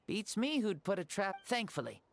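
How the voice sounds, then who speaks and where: A man's voice: high-pitched, big pitch swings, moderate pace, creaky, heavily acted. An actor voicing a breezy, self-mocking ancient male hero spirit who is borrowing a boy's body, in a game. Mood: playful. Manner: easygoing, self-mocking, playful. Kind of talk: game voice acting. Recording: game audio with music under.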